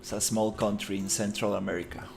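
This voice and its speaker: A man's voice: fairly low pitch, flat delivery, quick pace, gravelly, soft background music. A man chatting casually on a live stream while he models in 3D, rambling with lots of fillers. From Costa Rica. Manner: laid-back, rambling, many fillers, muttering through his work.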